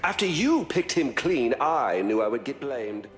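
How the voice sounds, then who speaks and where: Snide trickster god brother from a game, slow drawl but swoopy pitch, bit of music. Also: male voice, big pitch swings. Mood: disdainful. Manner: drawling, disdainful, measured. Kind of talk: video game villain voice lines. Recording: music behind.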